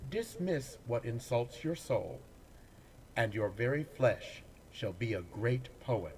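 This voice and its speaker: A man, an actor, reading poems aloud in a dramatic, deliberate way with pauses between phrases. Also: medium pitch, expressive, a little mellow, a little hiss or hum, low-quality recording. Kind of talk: dramatic poetry recitation.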